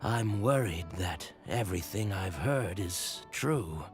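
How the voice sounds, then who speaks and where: Humble, slow-spoken game merchant. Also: male voice, low-pitched, lively intonation, music in the background, exaggerated voice. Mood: worried, generous. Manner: earnest, deferential. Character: humble, devout flower merchant, earnest and polite. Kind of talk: video game voice acting.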